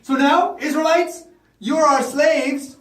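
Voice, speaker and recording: An arrogant Egyptian king, put on by a male storyteller, gives orders in a loud, high-pitched, scornful voice. He sounds stubborn and commanding, heard at a distance in a roomy space. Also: takes it slowly, full character voice. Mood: angry, commanding. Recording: echoey room, far from the mic.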